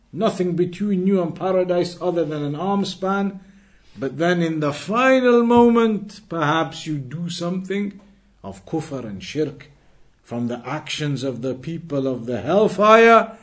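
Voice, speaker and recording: A man teaching a religious lesson to a live class, earnest and repeating key phrases, reciting Arabic fluently and quizzing his students. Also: fairly high pitch. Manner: quizzes the class and waits for answers. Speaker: Islamic teacher.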